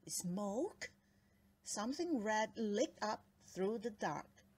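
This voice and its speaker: A woman narrating a children's story aloud in an expressive, dramatic read-aloud style with deliberate phrasing. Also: mid-range voice, husky. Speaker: storybook reader.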